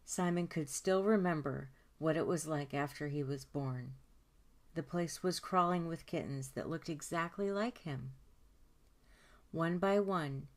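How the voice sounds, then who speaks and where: Low voiced lady doing storytime, reading a kids book out loud, stretches out the important words. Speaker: storytime host reading aloud. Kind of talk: expressive children's picture book narration.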